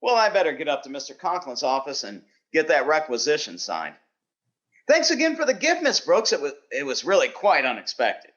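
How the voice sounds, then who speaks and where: Man as timid teacher with wildly swooping pitch. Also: huge pitch swings, a little fast, a bit bright, dull audio. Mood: shyly polite. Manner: hesitant, deferential, stammering. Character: timid, polite, awkward male biology teacher. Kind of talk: vintage radio sitcom acting.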